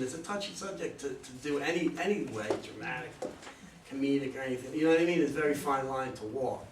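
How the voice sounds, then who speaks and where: A man, a playwright, talking informally and hesitantly in a question-and-answer session, playing himself down. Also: mid-range voice. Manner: hesitant, self-deprecating. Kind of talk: informal question-and-answer after a play reading. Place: room at a live play reading. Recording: roomy distant mic, faint noise.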